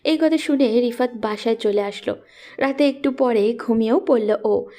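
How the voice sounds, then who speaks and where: Mellow, high-voiced woman narrating. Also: normal speed. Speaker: story narrator. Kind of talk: scripted romantic story narration.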